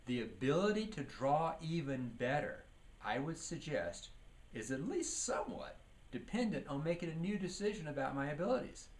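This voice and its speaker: A man's voice: on the high side, big pitch swings, normal speed. A man giving a leadership training lecture, teaching by posing questions and answering them, with emphasis on key words. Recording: clearly noisy recording.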